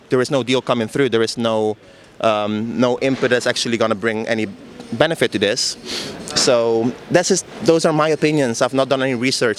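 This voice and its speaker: A man whose first language is Dutch answers an interviewer informally. He is blunt, with a voice of average pitch. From Netherlands. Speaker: interviewee, musician. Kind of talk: casual street interview.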